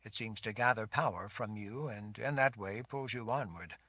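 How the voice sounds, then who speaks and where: A man's voice: on the low side, normal speed, sharp tone, dry recording. A man voicing a protective lord who speaks in a firm, insistent voice. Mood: gentle.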